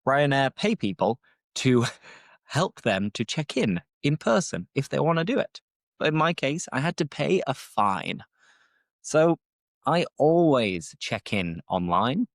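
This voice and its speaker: A young British man hosts an English-learning podcast, talking off the cuff in a chatty, rambling way. His voice rises and falls a great deal, with a pitch that sits mid-range for a man. The recording is close and dry, with no room echo. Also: big pitch swings, measured pace, gravelly. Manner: chatty, rambling, explains as he goes. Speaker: English teacher and podcast host. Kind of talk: casual unscripted teaching monologue.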